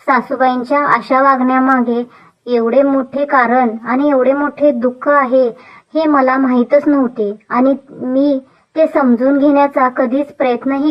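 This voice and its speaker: An earnest young daughter-in-law in a moral story cartoon, acted by a woman. Her high voice moves at a slow, careful pace. The sound is slightly dull and lo-fi. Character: earnest young city-bred daughter-in-law, contrite and willing. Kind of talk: animated moral story dubbing.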